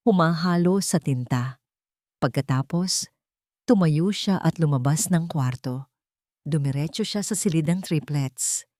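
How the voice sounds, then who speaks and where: Woman narrator, fairly low voice, dry close recording, emotive dramatic storytelling. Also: a little mellow, dry recording.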